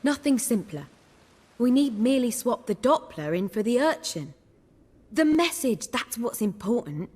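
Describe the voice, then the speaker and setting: An actress playing an excitable, self-assured playwright in a video game, with a theatrical and bossy manner. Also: female voice, on the high side, unhurried, breathy edge, big-room sound, exaggerated voice. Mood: confident.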